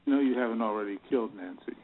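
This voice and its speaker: A man's voice: on the low side, quick pace, close to an everyday voice. A man playing an anxious, threatened bank president and husband in an audio play, speaking uneasily and questioningly. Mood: alarmed. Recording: noisy, narrow phone-like sound with clicks.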